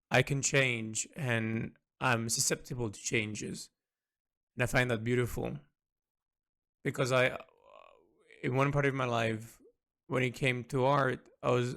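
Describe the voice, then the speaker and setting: A man with a gravelly, creaky voice at an average pitch records an unscripted audio log. He speaks earnestly and reflectively, often halting and doubling back on words as he works out his thoughts. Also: fairly bright tone. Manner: halting, repeats words as he thinks aloud; earnest, introspective.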